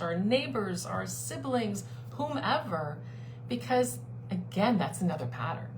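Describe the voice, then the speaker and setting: Woman, life coach, mid-pitched, earnest and persuasive, live stream with a steady hum behind her. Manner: earnest, persuasive, repeats key phrases. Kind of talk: live-streamed talk to a online group.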